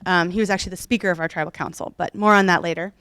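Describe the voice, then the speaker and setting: A woman in her thirties from Oklahoma, speaking as a guest lecturer at a podium microphone in a lecture room, warm and personal with frequent restarts. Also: on the low side, fairly even intonation, very fast, smooth, no room sound, studio quality. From Oklahoma, United States. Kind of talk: public lecture. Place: lecture room with a painting behind.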